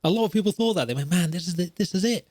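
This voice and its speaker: A man's voice: high-pitched, expressive, racing, darkish tone, no echo. A man hosting a podcast with casual solo gaming commentary, rambling and opinionated, repeating points for emphasis. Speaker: podcast host.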